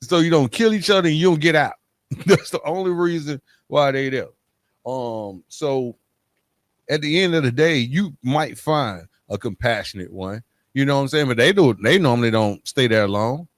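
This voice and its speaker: A man's voice: medium pitch, lively intonation, normal speed, dry recording. Middle-aged man, close dry sound. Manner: emphatic, punctuates points with tag questions. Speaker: podcast host. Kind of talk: conversational podcast interview.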